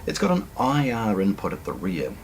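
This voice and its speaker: A middle-aged New man with a low voice that swings up and down a lot as he walks through a product unboxing. He sounds chatty and keen, dotting his talk with ums and false starts. He speaks indoors in a hi-fi store, with a faint hiss of noise behind him. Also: wildly swooping pitch, moderate pace, poor audio. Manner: chatty, enthusiastic, frequent ums and restarts. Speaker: hi-fi store presenter. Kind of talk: casual product unboxing walkthrough.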